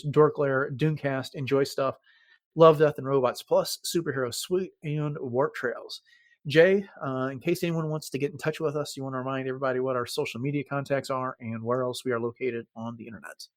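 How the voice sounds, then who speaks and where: A man hosting a podcast in casual conversation, sometimes pausing mid-sentence as he explains things. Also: mid-range voice, no echo. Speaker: podcast host.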